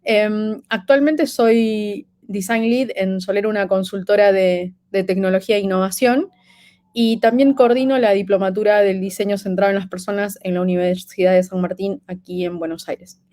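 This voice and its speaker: A woman's voice: medium pitch, fairly even intonation, normal speed, warm tone. A woman in her thirties from Peru, with a light Peruvian accent from living in Argentina, giving a conference talk with frequent fillers and restarts. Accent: light Peruvian, lived in Argentina. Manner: hesitant, many fillers and restarts. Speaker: conference speaker.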